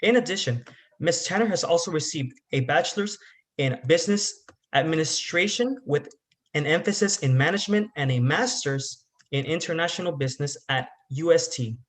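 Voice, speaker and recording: Higher-pitched man, formal event emcee. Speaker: master of ceremonies introducing speaker. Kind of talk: formal scripted introduction. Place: online video event.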